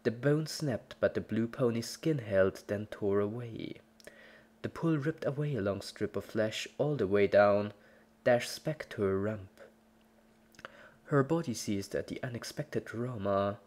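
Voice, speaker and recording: A woman's voice: extremely low pitch, a little slow, darkish tone. A woman narrating a scripted horror story as a dramatic reading.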